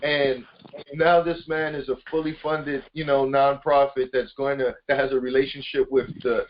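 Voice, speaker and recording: A man's voice: mid-range voice, level tone, normal speed, a little hiss or hum. A man hosting a casual motivational group call, speaking informally and hyping up his listeners directly. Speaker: conference call host. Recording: muffled lo-fi conference call.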